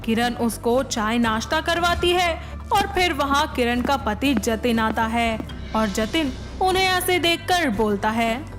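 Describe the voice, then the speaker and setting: A woman's voice: a little high, lively intonation, a little slow, music in the background. A woman narrating a moral tale as scripted storytelling.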